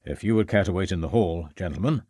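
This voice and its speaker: A man's voice: sits low, fast talker, warm tone, dry recording. A man voicing an elderly, suspicious and polite male porter. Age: older.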